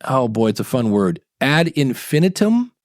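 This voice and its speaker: A man's voice: mid-range voice, big pitch swings, normal speed, no room sound, studio quality. A man hosting a podcast, giving his opinions in a casual, rambling way. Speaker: podcast host.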